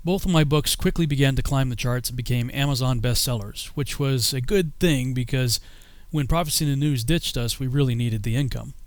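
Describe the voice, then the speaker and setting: A man's voice: mid-range voice, brisk, hardly any pauses, dry recording. An American man giving scripted first-person narration as a filmmaker telling his own story.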